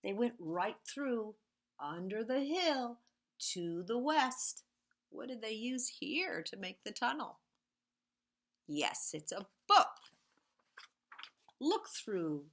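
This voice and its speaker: A woman reading picture books aloud to young children in an expressive, sing-song way, sometimes hushed, pausing to point things out to her listeners. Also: medium pitch. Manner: animated and sing-song, drops to hushed, whispery repeated sound words.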